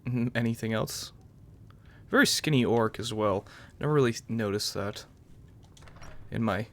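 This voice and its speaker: A man's voice: medium pitch, a little slow, fairly bright tone. A man giving offhand let's-play commentary as a gaming channel host, musing aloud as he roleplays.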